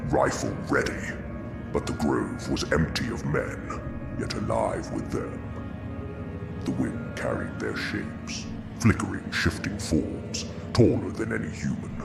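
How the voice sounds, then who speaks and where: A man plays an old undead hunter narrating a dark gothic folk tale. His gravelly, brooding voice moves slowly and ominously, with swelling, widely varied intonation. It sits in a roomy echo with music behind it. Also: very animated, exaggerated voice. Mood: grim, fearful. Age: older. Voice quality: gravelly, very deep. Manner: slow, brooding, ominous storytelling. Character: undead old male hunter, cursed shadow, brooding and haunted. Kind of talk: scripted first-person gothic horror narration.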